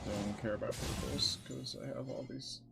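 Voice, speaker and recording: A man's voice: medium pitch, steady tone, normal speed, creaky, over loud music. A man live-streaming games, chatting casually and reacting in offhand fragments, muttering to himself. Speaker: game streamer. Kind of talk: casual livestream commentary and chat.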